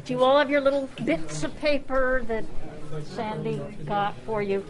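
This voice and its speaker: A woman's voice: high-pitched, moderate pace, crowd noise. A woman hosting an informal gathering in a busy restaurant, chatty and self-deprecating, speaking off the cuff with jokey exclamations. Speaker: luncheon host welcoming club members. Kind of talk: informal, unscripted welcome to a gathering. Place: club luncheon in a restaurant.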